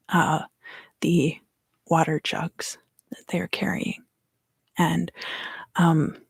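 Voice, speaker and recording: A woman softly whispering an ASMR tarot reading as a livestream host, soothing and unhurried, in a roomy recording. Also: lowish voice, creaky, husky.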